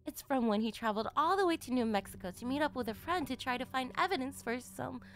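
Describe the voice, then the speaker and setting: A woman's voice: sits high, animated, fast talker, fairly bright tone. A woman voicing a doting, obsessive yandere mother, chatty and sugary, in an ASMR roleplay. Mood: sweetly nostalgic.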